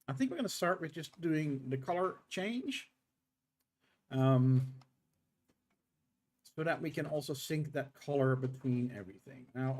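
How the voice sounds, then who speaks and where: A middle-aged man with a tired throat, streaming live game development and talking through his code in halting, unhurried steps. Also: a little high, darkish tone. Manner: halting, thinks aloud with many pauses and um's. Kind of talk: live coding stream, thinking aloud.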